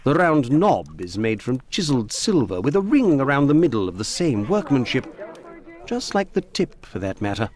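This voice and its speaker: An actor playing a smug, lecherous Victorian gentleman in a video game, insinuating and condescending. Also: medium pitch, big pitch swings, moderate pace, lightly acted.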